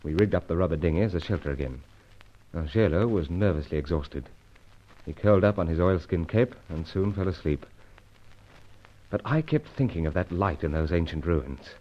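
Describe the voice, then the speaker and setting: Low-voiced British secret agent hero male actor. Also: expressive, moderate pace, highs cut off. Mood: tense narration. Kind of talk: radio adventure serial acting and narration.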